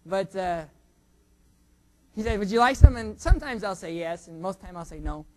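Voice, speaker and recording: A younger man preaching a sermon and leading a prayer, joking about food with sudden loud bursts of emphasis. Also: high voice, animated, moderate pace. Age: under 45. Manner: jokey, self-teasing, surging loud emphasis.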